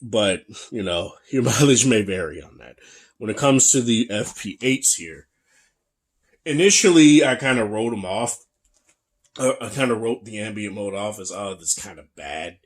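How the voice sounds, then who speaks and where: A young man with a deep, bassy voice giving a casual, chatty tech review, full of fillers and restarts. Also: animated, normal speed. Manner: laid-back, chatty, many fillers and restarts.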